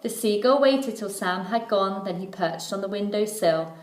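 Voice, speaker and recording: Woman, teacher's storybook read-aloud, measured rhythmic phrasing, medium pitch, roomy echo. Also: lively intonation. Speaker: primary school teacher reading aloud. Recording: echoey room.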